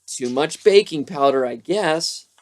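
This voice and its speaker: A narrator voicing an excitable, stubborn young boy frog from a children's storybook, exclaiming in short yelps. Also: mid-range voice, a little slow, natural voice. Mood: insistent. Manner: exclamatory, yelping short outbursts.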